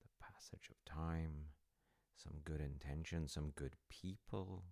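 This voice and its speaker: Low, gravelly, gentle dad, acted by a man. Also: fairly even intonation, exaggerated voice. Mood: hopeful, thoughtful. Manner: hesitant, reassuring, thoughtful with pauses. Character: gentle, attentive, slightly awkward middle-aged dad having tea. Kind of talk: soft-spoken wholesome audio roleplay addressed to listener.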